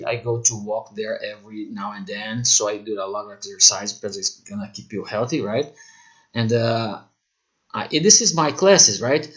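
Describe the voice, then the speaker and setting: Man teaching, halting speech. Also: medium pitch, rough recording. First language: Portuguese. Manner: halting non-native English with many fillers. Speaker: English teacher. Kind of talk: online course talk.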